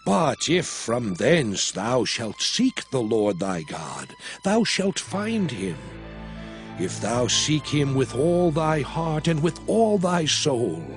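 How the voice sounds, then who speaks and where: An actor playing an aged male prophet and lawgiver, exhorting his people in a solemn, measured, declamatory manner in a dramatized scripture recording. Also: mid-range voice, sing-song. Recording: studio voice over a music score.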